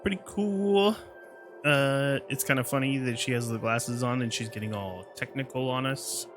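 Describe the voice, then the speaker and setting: A man does casual live game commentary with playful, slangy reactions to what is on screen. Game music plays clearly behind him. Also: mid-range voice, moderate pace. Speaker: game streamer.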